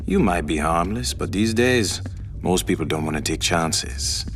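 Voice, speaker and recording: An actor playing a suave, guarded, shrewd club boss in a video game, speaking in a measured, cagey, wry way. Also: male voice, sits low, expressive. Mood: suspicious. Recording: game cutscene with loud music. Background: loud game music.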